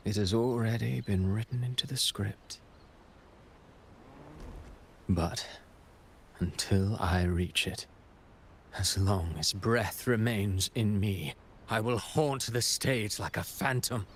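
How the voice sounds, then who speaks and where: An actor playing a calm, scheming playwright villain in a video game cutscene, speaking in formal, poetic, courteous phrases. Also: male voice, fairly low pitch, takes it slowly, slight background noise. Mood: solemn, resolute.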